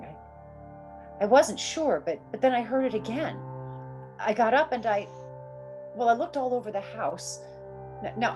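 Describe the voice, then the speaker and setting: A woman's voice: mid-range voice, a little mellow, close to an everyday voice. An actress playing a frightened young woman who halts and repeats herself anxiously, in old-time radio horror style. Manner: halting, anxious, repeats words. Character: frightened, insistent young woman haunted by shadowy presences. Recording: radio drama with music behind.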